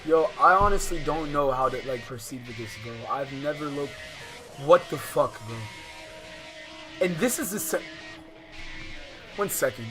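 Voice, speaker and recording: A man's voice: fairly high pitch, animated, unhurried, pauses often. A man doing casual livestream commentary on a game, sometimes reading its text aloud, talking in profane, exclamatory slang and repeating phrases insistently. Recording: music behind the voice. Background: background music, clearly heard.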